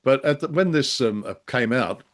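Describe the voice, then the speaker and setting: A man co-hosting a podcast, discussing and reviewing in a genial, halting, hesitant way. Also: mid-range voice, expressive. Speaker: podcast co-host.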